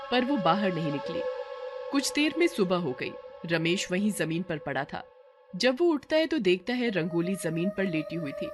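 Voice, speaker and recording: A woman narrating a scripted dramatic story as a storyteller. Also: fairly low pitch.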